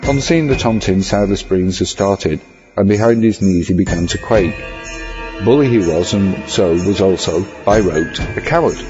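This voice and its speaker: Very deep-voiced man narrating horror. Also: expressive. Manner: ornate, measured storytelling. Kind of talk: scripted horror story narration. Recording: slightly dull audio over music. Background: music.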